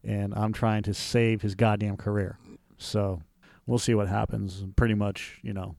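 A man's voice: fairly low pitch, flat delivery, unhurried, vocal fry, a little mellow, no echo, clean studio sound. A man in his forties co-hosting a casual podcast chat, blunt and profane. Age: 40s. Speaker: movie podcast co-host.

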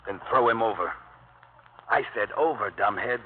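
An actor playing a ruthless, commanding grown brother with a grudge in a 1950s radio drama. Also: male voice, on the low side, normal speed, lightly acted. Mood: cold, commanding. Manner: hard, commanding. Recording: crackly, narrow old radio broadcast.

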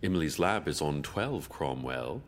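Deep, deliberate, imperious corporate director. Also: male voice, animated. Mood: smug, deliberate. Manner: pompous, deliberate, corporate jargon. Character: imperious, calculating corporate board director, measured and menacing. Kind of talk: dystopian audio drama acting.

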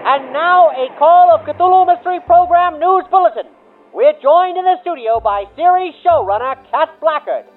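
Through a thin, phone-like vintage radio filter comes an excitable news bulletin announcer. He proclaims theatrically in a very high-pitched voice, with music playing faintly behind him. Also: normal speed. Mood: proclaiming.

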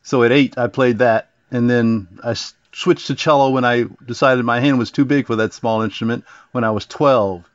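A man from Virginia who plays cello in an orchestra, reminiscing in a relaxed way in an interview. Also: medium pitch, normal speed, few pauses, no room sound, highs cut off. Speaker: orchestra cellist guest. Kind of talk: interview storytelling.

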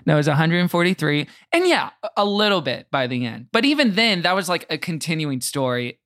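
A man's voice: a little high, sing-song, a little fast, a bit bright, no room sound, clean studio sound. A man with an American accent chatting casually and jokingly as a guest on a comedy podcast. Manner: playful, joking.

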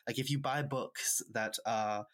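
A man's voice: medium pitch, fairly even intonation, measured pace, fairly bright tone. A man from rural Wales with a Welsh accent, a native Welsh speaker, chatting and telling stories as an interview guest. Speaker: podcast guest, author and witchcraft practitioner. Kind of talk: conversational interview storytelling.

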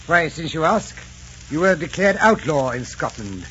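An actor playing a haughty, suspicious lord of the court, stiff and affronted, in 1940s radio style. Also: male voice, fairly high pitch, very animated, normal speed, fairly bright tone. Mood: coldly formal. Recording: hissy, dull 1940s radio recording.

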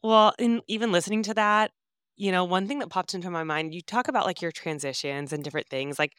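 A woman's voice: fairly low pitch, fast talker, fairly bright tone, smooth, dry recording, clean studio sound. A woman hosting a podcast interview, upbeat and affirming, chatting casually with lots of fillers. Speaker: podcast host.

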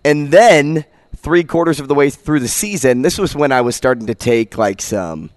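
A man with a slightly hoarse voice, co-hosting a casual sports talk show and telling a story with easy laughs. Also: fairly high pitch, animated, normal speed, no echo, clean studio sound. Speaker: radio co-host. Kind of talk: casual chat.

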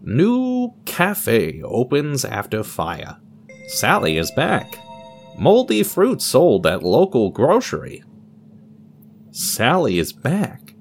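A man's voice: medium pitch, huge pitch swings, takes it slowly, faint music behind. A man narrating a scripted audio drama in a theatrical, storybook style, with a wry touch.

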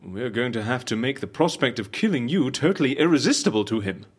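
An actor in an audio drama playing an inquisitive, excitable agent of a shady secret agency, with a husky voice. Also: male voice, medium pitch, fairly quick. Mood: scheming. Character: curious, probing male agent for secret death-faking agency.

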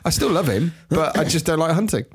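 A man's voice: on the high side, animated, brisk, breathy edge. A man with a cold, sniffly, co-hosting a casual podcast and teasing in a jokey, mock-solemn way. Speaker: podcast co-host.

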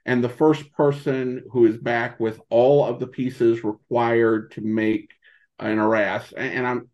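Halting man, creaky voice, dull audio. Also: medium pitch, a little mellow. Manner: halting, hesitant phrasing with repeated false starts. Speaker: podcaster, interview guest. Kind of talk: casual interview chat.

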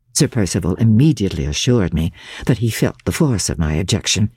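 A woman audiobook narrator with a dark, husky voice reads classic literature dramatically. Her delivery is formal and measured yet moves widely in pitch, following each sentence with expression. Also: no room sound, studio quality. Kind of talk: dramatic scripted narration of a classic diary novel.

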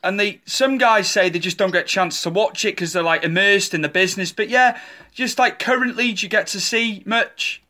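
A man's voice: high voice, normal speed, pushed and bright. A man hosting an interview, asking his questions hesitantly with plenty of fillers. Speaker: interview host.

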